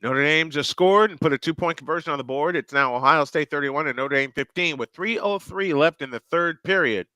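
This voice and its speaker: A man's voice: a little high, a little fast, few pauses, pushed and bright, no echo. A man hosting a live stream, calling plays as a fan commentator and reading viewer comments aloud. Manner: calls plays and reads viewer chat aloud.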